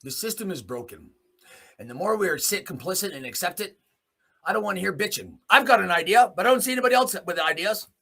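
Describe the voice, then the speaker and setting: A man's voice: high-pitched, expressive, fast, creaky, sharp tone. A man hosting a solo commentary show, delivering a blunt, off-the-cuff opinionated monologue with free use of swearing.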